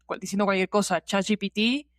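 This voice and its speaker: A woman's voice: mid-range voice, fairly even intonation, fairly quick, dry recording, clean studio sound. A woman with a Rioplatense Spanish accent giving her opinions as a podcast guest in casual, slangy conversation. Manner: informal, slangy, with frequent conversational tags. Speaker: podcast guest, audio fiction producer.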